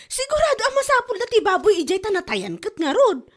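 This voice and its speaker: A woman performs a lively village wife in a comedy audio drama. Her high voice swoops widely in an Ilocano accent, full of gossip and cheeky scheming. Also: brisk, full character voice. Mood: gleeful scheming.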